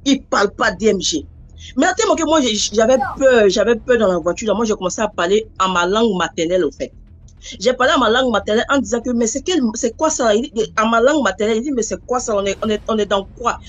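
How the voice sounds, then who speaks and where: A woman telling a personal story in a rambling, animated way, acting out the voices she quotes. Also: fairly high pitch, fast talker. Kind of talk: casual spoken storytelling.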